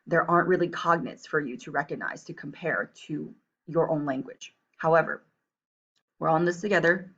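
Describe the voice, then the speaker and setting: A woman from Taiwan teaches a recorded online class with a low, gravelly voice. She talks patiently, keeps reassuring her students and checks in with them often. The audio is dull and lo-fi. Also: normal speed, a bit bright.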